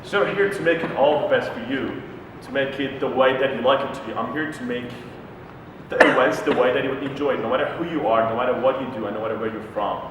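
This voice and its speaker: An eager young man gives a rapid-fire student election speech, repeating his promises over and over. He is heard from far off in an echoey hall with some background noise. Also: mid-range voice. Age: young adult. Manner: eager and repetitive. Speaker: student council candidate. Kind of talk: campaign speech.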